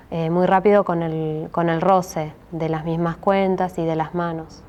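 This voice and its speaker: A woman's voice: fairly low pitch, slight background noise. Patient Rioplatense woman teaching a craft. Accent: Rioplatense Spanish. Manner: patient, with frequent "¿sí?" check-ins and many hesitations. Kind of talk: step-by-step craft tutorial.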